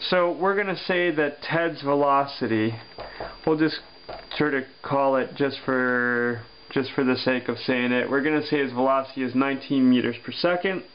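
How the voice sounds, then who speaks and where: Male physics teacher, deliberate and halting, dull desk recording with a low hum. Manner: slow, thinking aloud with many pauses and fillers. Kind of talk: worked-example lesson over paper. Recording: dull lo-fi sound with faint hum.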